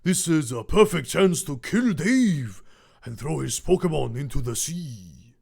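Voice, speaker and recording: A man's voice: a little high, big pitch swings, a little slow, creaky, a little mellow, husky, exaggerated voice. A man voicing a villain's sidekick who speaks hesitantly with fillers. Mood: plotting.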